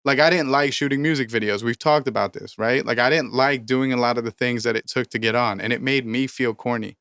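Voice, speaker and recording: A man's voice: medium pitch, fairly even intonation, brisk, fairly bright tone, clear, resonant tone, no echo, studio quality. A thirty-year-old man co-hosting a music business podcast in casual chat, ranting emphatically and swearing often. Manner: emphatic, profane, preachy motivational rants. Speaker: podcast co-host, rapper.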